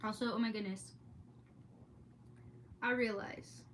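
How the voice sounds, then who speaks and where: A woman's voice: a little high, steady tone, slow, a bit bright, a little hiss or hum. A woman streamer chatting in a casual, rambling, exclamatory way, easily sidetracked. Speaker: streamer hosting a read-aloud. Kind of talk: casual rambling vlog chat.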